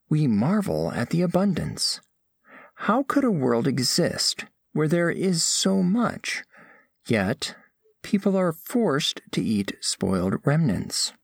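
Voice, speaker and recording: Slow, formal alien scholar, acted by a man. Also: a little high, no echo, studio quality, heavily acted. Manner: measured, precise, formal phrasing. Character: formal, scholarly alien diplomat narrating a first contact. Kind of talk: scripted audio fiction narration.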